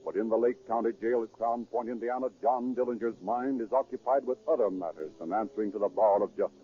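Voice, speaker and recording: A man's voice: on the low side, quick pace, phone-quality sound. Formal vintage radio crime narrator, American man. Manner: formal, dramatic. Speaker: narrator of a police crime drama. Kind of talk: 1930s radio scripted narration. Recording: thin 1930s radio recording with music.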